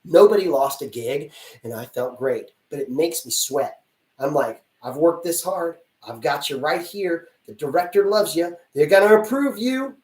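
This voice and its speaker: A man's voice: a little high, animated, moderate pace. A man working as a casting director, giving blunt, chatty advice to actors in a live Q&A and acting out little dialogues as he goes. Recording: roomy sound from a distance.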